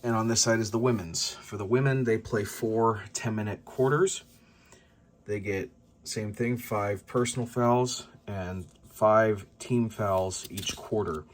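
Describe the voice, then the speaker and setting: A man's voice: fairly low pitch, steady tone, a little slow. A man talking casually to his vlog audience, explaining things plainly. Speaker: vlogger and sports announcer.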